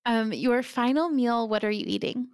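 A woman's voice: on the high side, normal speed, clear, resonant tone, no echo, studio quality. A woman hosting a podcast, chatty and enthusiastic as she interviews a guest. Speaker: podcast host.

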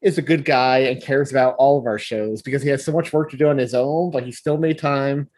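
A man's voice: medium pitch, steady tone, a little fast, darkish tone, dry recording. A man chatting casually as a host on a group podcast.

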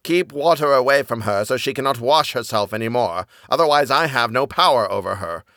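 A man's voice: mid-range voice, sing-song, moderate pace, a bit bright, dry recording, clean studio sound. A man voicing a sly, menacing devil in the shape of an old man in a storybook tale, commanding and threatening. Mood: angry.

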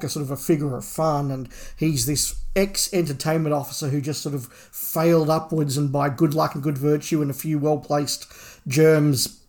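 A man's voice: a little high, darkish tone. A man co-hosting a podcast, casually discussing and reviewing a book, feeling his way through his phrasing with repeated words. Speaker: podcast co-host.

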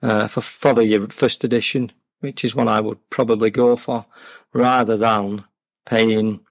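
A man's voice: lowish voice, flat delivery, unhurried, vocal fry, dry recording. A man talking casually as a guest in an interview about his collection. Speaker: podcast guest, game collector.

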